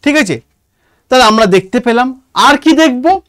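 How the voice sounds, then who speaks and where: Very high-pitched Bengali man teaching class. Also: lively intonation, moderate pace, a bit bright, no room sound, studio quality. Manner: repetitive, emphatic, dictating points. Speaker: exam-prep history teacher. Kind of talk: online class lecture, addressing students informally.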